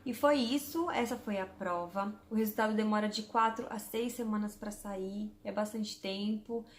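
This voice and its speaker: A chatty Brazilian woman in her thirties with a Santos accent talks casually to her camera, ticking off items one at a time and dropping in German words. Her voice sits at a middle pitch. The room around her gives the sound a noticeable echo. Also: moderate pace. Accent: Brazilian Portuguese, from Santos. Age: 30s. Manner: chatty, spells out lists item by item with German words mixed in. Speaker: vlogger.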